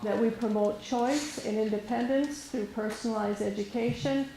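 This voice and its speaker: A soft-spoken woman with a gravelly, mellow voice presents to a room as a resource specialist. She talks hesitantly, full of fillers and restarts, and the room microphone catches a roomy echo. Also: on the high side, moderate pace, slight background noise. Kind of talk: informal presentation to an audience. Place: meeting room with an audience. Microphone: room microphone recorded for camera.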